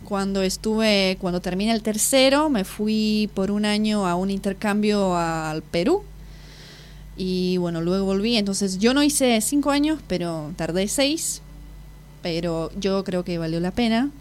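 A woman's voice: mid-range voice, measured pace, a little hiss or hum. Woman in her twenties, hesitant. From Slovakia. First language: Slovak. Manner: hesitant, searching for words, self-correcting. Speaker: exchange student guest. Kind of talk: casual interview answers.

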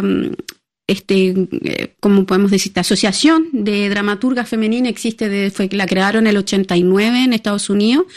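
Chilean woman chatting as an interview guest. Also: medium pitch. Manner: conversational, hesitant with fillers and restarts, laughs often. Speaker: playwright and theatre director, guest. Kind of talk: radio interview.

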